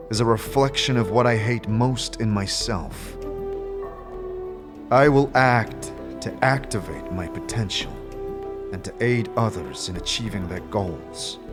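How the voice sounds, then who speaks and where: A man voicing a terse, solemn wandering adventurer in a fantasy audio drama, speaking gravely and deliberately. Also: medium pitch.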